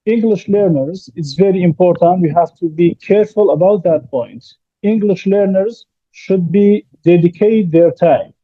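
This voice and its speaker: A man's voice: high-pitched, no room sound, muffled recording. A man, an English teacher, giving emphatic advice to learners in an interview, repeating his key points.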